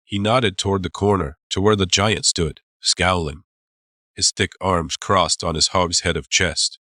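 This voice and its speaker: A man narrating a dramatized audiobook as a storyteller, measured and suspenseful. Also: low voice, fairly even intonation, pushed and bright, no room sound, clean studio sound.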